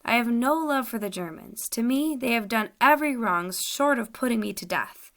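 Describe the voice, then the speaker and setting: A woman's voice: mid-range voice, lively intonation, moderate pace, a bit bright. A woman voicing a desperate young male soldier who pleads emotionally to be taken along. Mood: pleading.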